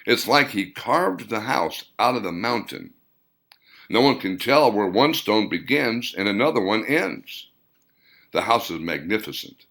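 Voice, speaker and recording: A man reading scripted audiobook fiction in a deliberate storytelling manner, with dramatic emphasis. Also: on the low side, expressive, fairly bright tone. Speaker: author reading own novel.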